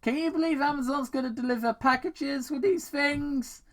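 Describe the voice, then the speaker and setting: A reader voicing a cocky, excitable teenage hedgehog boy in fan fiction, loud and full of wisecracks. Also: high voice, moderate pace, pitched above the performer's own voice, natural voice. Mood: gleeful.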